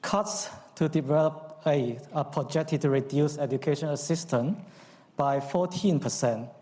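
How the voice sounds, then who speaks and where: A man's voice: a little high, dark tone. Man with a Cantonese accent, hall reverb. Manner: measured, with frequent um and uh. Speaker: conference speaker. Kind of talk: formal speech.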